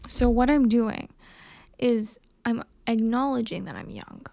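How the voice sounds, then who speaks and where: Woman, early 20s, casual vlogger. Higher-pitched with noticeable fry, candid and a bit self-conscious. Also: expressive, a little slow, mellow, no room sound. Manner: earnest, self-conscious, conversational. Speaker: vlogger, young filmmaker. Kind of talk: candid casual monologue to camera.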